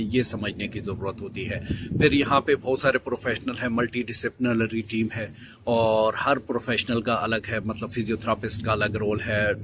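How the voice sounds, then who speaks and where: A man's voice: lowish voice, slightly rough. A man giving casual advice as a video host, with many fillers and restarts.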